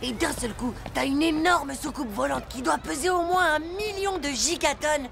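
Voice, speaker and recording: A woman voicing a brash, excitable, cheeky schoolboy with a gravelly voice in an animated cartoon. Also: sits high, normal speed. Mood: eager storytelling. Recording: loud music behind the voice.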